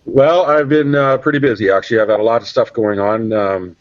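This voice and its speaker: A man's voice: medium pitch, brisk, no room sound. A Canadian man, a podcast guest, chatting casually and rambling with many fillers. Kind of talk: casual interview chat. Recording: dull, dry sound.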